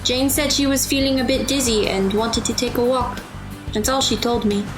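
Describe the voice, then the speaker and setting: A woman's voice: on the high side, fairly even intonation, normal speed, over music, close to an everyday voice. A woman playing a practical, matter-of-fact teenage girl in an audio drama.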